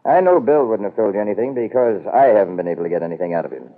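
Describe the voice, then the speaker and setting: Proud, sardonic middle-aged father, very low husky voice with lively swings, old radio sound. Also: very fast. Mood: wary, defiant. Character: proud, wily middle-aged businessman father, cornered but defiant. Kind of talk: 1940s radio soap opera acting. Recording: muffled old radio broadcast.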